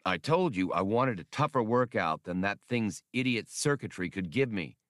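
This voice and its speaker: A man's voice: fairly low pitch, expressive, normal speed, dry recording, lightly acted. A male narrator plays a brash smuggler in a space adventure audiobook. He talks casually and bluntly, with cocky, streetwise confidence and a dry, close sound. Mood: irritated. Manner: brusque, casual.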